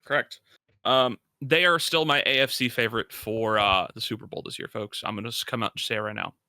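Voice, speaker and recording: Male sports co-host, very bright tense edge, emphatic and opinionated, dry close sound. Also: medium pitch, fairly even intonation, fairly quick, no room sound. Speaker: podcast co-host. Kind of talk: casual sports talk, analysis.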